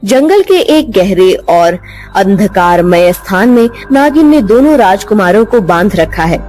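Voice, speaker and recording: A woman narrating a dubbed cartoon moral story in a steady storybook manner. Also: mid-range voice, unhurried, soft background music.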